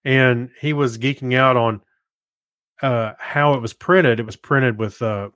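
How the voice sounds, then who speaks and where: A man co-hosting a podcast with casual, informal talk in a somewhat halting manner. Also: mid-range voice, steady tone, a bit bright, no echo, studio quality. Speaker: podcast co-host.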